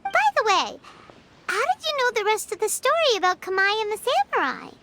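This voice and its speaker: A woman voicing a tiny, excitable, childlike fairy companion with a squeaky voice in a video game, curious and inquisitive. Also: brisk, exaggerated voice. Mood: curious, surprised. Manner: exclaiming, inquisitive, talks in third person. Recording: music behind the voice.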